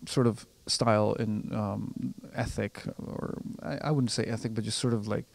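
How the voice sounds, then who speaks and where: Gravelly low-voiced man, flat delivery. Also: normal speed, dry recording. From Colombia. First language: Spanish. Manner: hesitant, many restarts and fillers. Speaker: composer and pianist. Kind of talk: interview answers.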